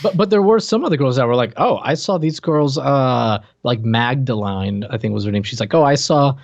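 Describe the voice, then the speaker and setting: A man co-hosting a podcast, chatting casually with halting, repetitive, slangy phrasing. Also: medium pitch, no room sound, lo-fi sound. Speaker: podcast co-host. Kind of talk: casual chat about a reality TV show.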